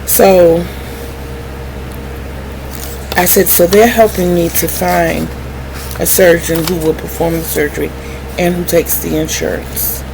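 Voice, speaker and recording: A middle-aged woman telling personal stories candidly to viewers in a wry, animated manner, acting out remembered conversations. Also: fairly low pitch, slow pace, long pauses. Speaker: vlogger. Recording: clearly noisy background hum.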